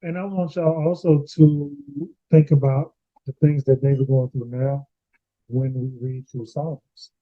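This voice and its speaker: A man's voice: medium pitch, fairly even intonation, a little slow, dry recording. A man co-hosting a casual scripture discussion, explaining passages to the listeners. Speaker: co-host of a Bible read-along discussion. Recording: narrow phone-like sound, very dry.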